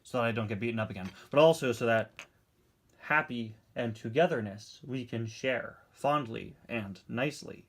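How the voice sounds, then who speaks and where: A man voicing a meek reformed cartoon rival who pleads for friendship in halting, inverted sentences. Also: mid-range voice, breathy edge. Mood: sheepish, earnest. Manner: halting, inverted word order. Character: former angry rival, now meek, pleading friend-seeker.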